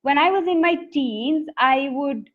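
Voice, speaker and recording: A woman's voice: sits high, lively intonation, a little slow. A woman poet with an Indian English accent, telling her story in an earnest, reflective interview. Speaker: poet and author guest. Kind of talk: conversational interview answers. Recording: dull lo-fi audio.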